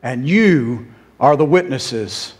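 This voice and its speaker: A man's voice: mid-range voice, lively intonation, slow. A man in his fifties with a Southern American accent, preaching a sermon on a church stage and moving around as he speaks. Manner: earnest, emphatic, pacing the stage and gesturing. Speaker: pastor. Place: church sanctuary stage, indoors.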